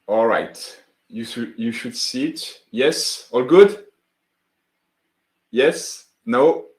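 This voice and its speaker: A man from France with a French accent, hosting a live webinar as founder of a software tool, greeting viewers in the chat and demoing his workflow. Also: mid-range voice, lively intonation, very slow, low-quality recording. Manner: friendly, greets chat viewers by name, many fillers.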